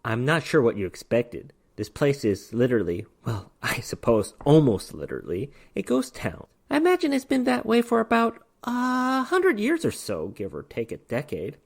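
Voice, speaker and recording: Narrator playing a dapper male demon hunter for a storybook: stoic, wry, proud, with animated intonation. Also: medium pitch, normal speed, gravelly, few pauses, exaggerated voice. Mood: dry, wry. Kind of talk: dramatic short story reading.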